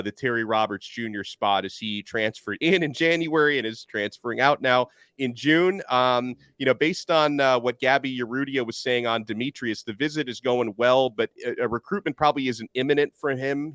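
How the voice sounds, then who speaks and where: A man in his thirties hosting a sports podcast over a video call, giving an energetic, rapid rundown of recruiting news. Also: mid-range voice, no room sound. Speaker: podcast host. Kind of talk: casual sports podcast chat. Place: home studio, video call. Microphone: desk microphone.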